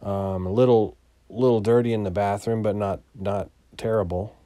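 A man giving a casual, unscripted property walkthrough as a landlord, in a matter-of-fact, halting way that trails off. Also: on the low side, steady tone, mellow, no room sound. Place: inside an empty mobile home, starting on the porch.

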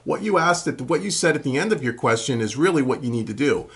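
Male tutorial host, 40s, husky medium-pitched voice, conversational explainer, casual Q&A delivery. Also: expressive, fast, fairly bright tone. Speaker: host of a home recording tutorial Q&A show. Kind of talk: casual explanatory talk to camera, answering viewer questions. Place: small furnished room at home, indoors.

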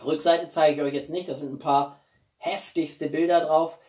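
A man's voice: on the high side, fairly even intonation, normal speed, a little mellow. A man, chatting casually to camera as an opinionated film reviewer, speaking colloquially and with emphasis. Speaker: YouTube film reviewer and channel host. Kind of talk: casual chat to camera about a film collection. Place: small room at home, indoors. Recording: roomy, distant microphone.

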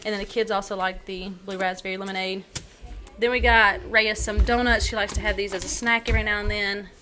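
Brief: casual thirties mom-vlogger, kitchen phone audio with clear background noise. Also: female voice, medium pitch, fairly even intonation, a little fast, pushed and bright. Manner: chatty, many ums and likes, listing items. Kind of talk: casual grocery haul narration. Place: home kitchen, indoors.

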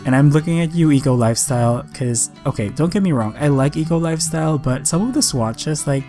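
Chatty, slightly raspy young man over guitar music. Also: a little high, fairly quick, mellow. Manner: chatty, enthusiastic. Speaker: gaming video creator. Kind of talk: casual voice-over commentary on a game speed build.

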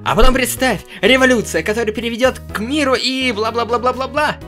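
A man's voice: very high voice, fairly quick, pushed and bright, soft background music, voice pushed up. A man voicing a bossy, perky girl from a visual novel, insistent and enthusiastic. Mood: dreamy enthusiasm. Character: bossy, perky girl filming forest adventures.

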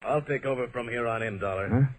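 A man's voice: medium pitch, fairly quick, lightly acted. A man acting a businesslike, authoritative Treasury agent in an old-time radio drama. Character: brisk, authoritative male undercover Treasury agent. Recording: noisy old radio recording.

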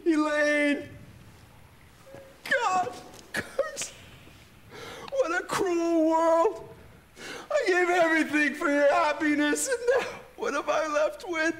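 An actor playing an anguished, desperate grieving man in a video game, crying and shouting melodramatically. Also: extremely high pitch, expressive, takes it slowly, long pauses, exaggerated voice.

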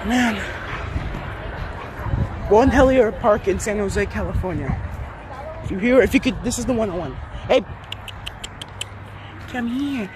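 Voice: medium pitch, measured pace, long pauses. A vlogger chatting off the cuff in a slangy, musing way and talking to dogs, recorded on a handheld phone outdoors. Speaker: vlogger filming own dog outing. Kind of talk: casual off-the-cuff vlog chat. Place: outdoor dog park. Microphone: handheld phone behind the camera. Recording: noisy open-air park with bystander chatter.

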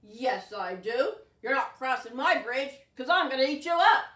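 A woman voicing an angry male troll guarding his bridge, shouting threats. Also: sits high, sing-song, moderate pace. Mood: menacing. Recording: roomy echo, distant mic.

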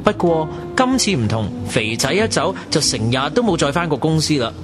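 A man's voice: on the high side, very animated, normal speed. A man with a Hong Kong Cantonese accent playing a chatty young narrator who talks wryly about his friends in a radio drama. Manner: wry, colloquial storytelling. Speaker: narrator and character. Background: music.

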